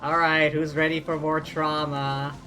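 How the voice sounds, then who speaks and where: A man's voice: fairly high pitch, level tone, a little slow, sharp tone, soft background music. A man streaming a video game live, commenting and reading dialogue aloud.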